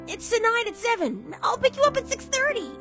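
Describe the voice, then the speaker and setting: A woman playing a brash, excitable young female pegasus pony in an animated, emphatic fan audio drama style. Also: very high-pitched, a little fast, music in the background. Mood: elated, excited. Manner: emphatic, emotional, impulsive.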